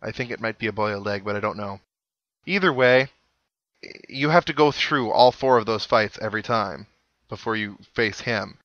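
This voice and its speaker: A man's voice: on the low side, moderate pace, fairly bright tone, dry recording, muffled recording. A man hosting a video game playthrough, giving casual commentary with wry, dry-humoured asides. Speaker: host of a gaming playthrough series. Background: video game music.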